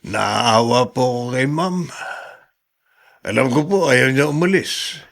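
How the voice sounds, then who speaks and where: A man's voice: mid-range voice, slow pace, gravelly, a bit bright. A man playing a loyal, deferential driver in a Filipino radio drama, respectful and hesitant, with a Filipino accent. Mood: sympathetic. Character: loyal, deferential driver consoling his boss.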